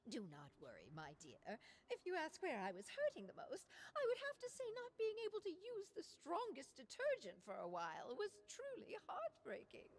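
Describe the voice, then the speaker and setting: A woman voicing a gracious, unflappable elder lady in an anime-style game, with a dramatic drawl and husky, breathy tone. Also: high-pitched, huge pitch swings, normal speed, few pauses, full character voice. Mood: gracious, theatrical.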